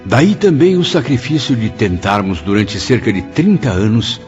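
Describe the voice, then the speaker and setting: A man's voice: lowish voice, very animated, moderate pace, a little mellow, highs cut off, faint music behind. An actor playing an earnest, sorrowful spirit writer who narrates his afterlife memories in formal, literary language for a radio drama. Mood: solemn, reflective.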